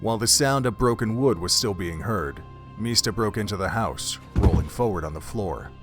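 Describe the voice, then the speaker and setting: A man narrating an audio drama in a measured, literary storytelling style. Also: on the low side, lively intonation, a bit bright. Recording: background music under the voice.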